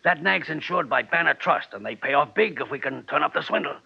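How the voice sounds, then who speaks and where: An actor playing a bossy, money-hungry American detective agency boss, gravelly and wheedling, in 1940s radio style. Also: male voice, on the high side, expressive, a little fast, exaggerated voice. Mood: scheming, eager. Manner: wheedling, pushy. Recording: dull, band-limited old radio recording.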